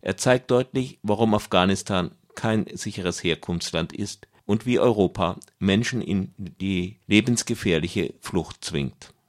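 A man's voice: low voice, unhurried, few pauses. A man presenting a radio magazine, reading scripted narration.

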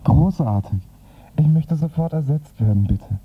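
An actor playing an exasperated, despairing comic-book antihero in a radio play, gruff and fretful. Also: male voice, fairly high pitch, lively intonation, measured pace. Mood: agitated. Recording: muffled, noisy, distant lo-fi radio recording.

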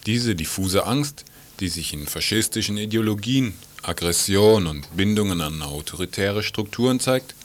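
A man reading scripted narration for a radio feature in a measured, formal, emphatic manner. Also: lowish voice, expressive, a little hiss or hum.

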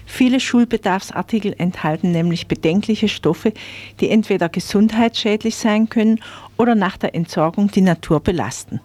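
A woman's voice: medium pitch, moderate pace, a little mellow. A woman reading a scripted consumer information item as a radio presenter. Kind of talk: scripted magazine news reading.

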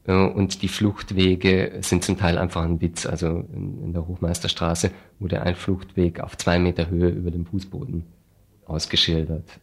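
A man, an activist being interviewed, explaining things deliberately in a critical, dryly sardonic manner. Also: sits low, flat delivery, creaky, slightly rough. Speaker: refugee aid activist, interview guest. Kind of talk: spontaneous explanatory interview talk.

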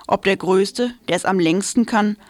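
Woman reading a scripted radio piece, medium pitch, very dry studio-type sound. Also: normal speed, dry recording. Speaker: radio presenter. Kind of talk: scripted commentary, read aloud.

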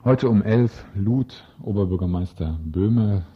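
A man's voice: fairly low pitch, takes it slowly, slightly rough. A man delivering an informal, wry news report as a radio reporter. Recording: dull lo-fi radio recording.

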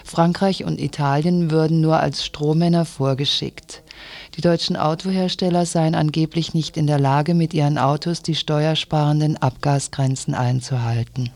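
A woman's voice: low-pitched, fairly even intonation, moderate pace, a little mellow, no echo. Novice woman newsreader, very dry sound. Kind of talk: scripted news reading. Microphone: studio microphone in a speaker's booth.

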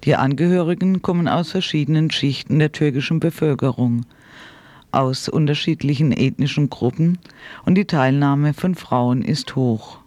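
A man's voice: a little high, normal speed, darkish tone, dry recording. A man reads a scripted radio report in a sober, formal manner. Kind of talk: scripted news narration.

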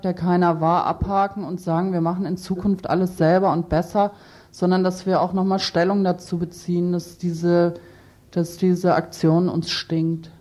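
A woman's voice: fairly low pitch, warm tone. A woman speaking in a radio discussion, giving opinions and information.

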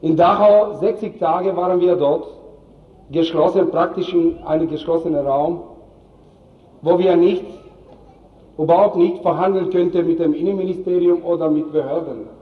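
Echoey muffled recording of a tired guy giving a halting, emphatic protest speech, non-native speaker. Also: fairly high pitch. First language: not German. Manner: halting, emphatic short phrases. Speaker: spokesman, activist. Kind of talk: impassioned public speech. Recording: echoey, distant, dull rally recording.

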